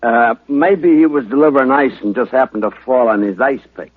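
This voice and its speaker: Slow-witted, touchy cop, played by a man, husky, dry close sound on very muffled vintage radio. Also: medium pitch, no room sound, close to an everyday voice. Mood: dim musing. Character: dim, easily offended police sidekick. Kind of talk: 1940s-50s radio acting. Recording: old radio broadcast, very narrow muffled band.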